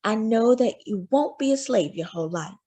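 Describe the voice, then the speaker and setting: A woman voicing a loving, hopeful mother who talks gently and expressively to her young son in a storybook. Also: a little high, lively intonation, moderate pace. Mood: proud, tender. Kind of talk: storybook character voice.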